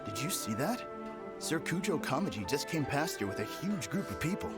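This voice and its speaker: A man's voice: a little high, big pitch swings, normal speed, loud music behind the voice. An actor playing a mildly concerned, gossiping townsman in a video game. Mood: concerned, curious.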